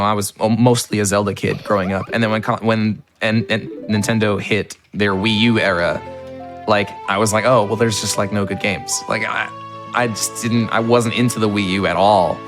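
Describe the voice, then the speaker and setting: A man with a deep, low voice that is a little raspy from being ill chats casually as a speedrunner, full of "like" and restarts. Game music plays clearly behind him. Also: fairly even intonation, moderate pace. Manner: chatty, many fillers and restarts. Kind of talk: casual gaming chat while playing.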